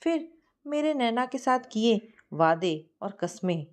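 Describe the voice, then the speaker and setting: Woman reading as earnest young man, animated. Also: a little high, takes it slowly. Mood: pleading. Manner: earnest, protesting. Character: troubled young man resisting an arranged marriage.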